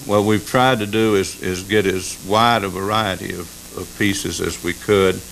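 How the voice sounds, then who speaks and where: A man talking casually in an interview as a collector, haltingly rephrasing as he goes. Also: low-pitched, creaky, fairly bright tone, poor audio. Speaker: radio collector being interviewed. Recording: faint background hiss.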